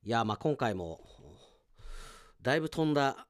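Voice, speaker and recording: A man's voice: medium pitch, lively intonation, moderate pace, no echo, studio quality. A man hosting a solo radio show, chatting in a self-deprecating way and reading out listener mail like an announcer. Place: radio studio.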